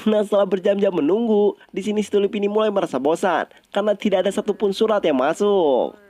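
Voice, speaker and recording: A man's voice: sits high, fast, dark tone. A man narrating a story recap in a casual, colloquial, conversational way.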